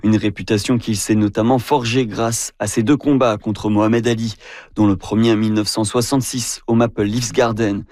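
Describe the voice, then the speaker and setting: A man reading a scripted radio news report in a measured, formal way. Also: fairly low pitch, flat delivery, darkish tone, dry recording, studio quality.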